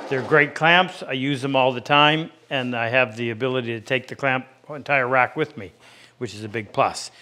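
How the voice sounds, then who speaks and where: Male workshop instructor, 50s, medium pitch, plain-spoken and practical, explaining techniques as he demonstrates. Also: pushed and bright. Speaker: woodworking instructor and host. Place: indoor woodworking workshop.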